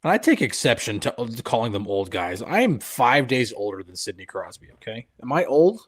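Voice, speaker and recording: A man's voice: medium pitch, sing-song, brisk, husky. A man co-hosting a live sports podcast, agreeing easily and breaking down coaching options. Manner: agreeable, easygoing, analytical. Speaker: podcast co-host. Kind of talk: live podcast chat and football analysis.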